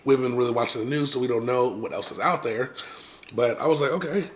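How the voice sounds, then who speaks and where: A man's voice: medium pitch, fast talker. Rambling man telling a story. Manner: rambling, many fillers and restarts. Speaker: vlogger. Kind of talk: casual storytelling to viewers.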